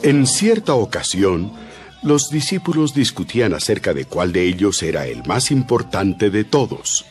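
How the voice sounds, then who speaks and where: A man's voice: fairly low pitch, very animated, unhurried. A man narrating a dramatized scripture reading in a scripted style. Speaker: Bible narrator. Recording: loud music under the voice. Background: music.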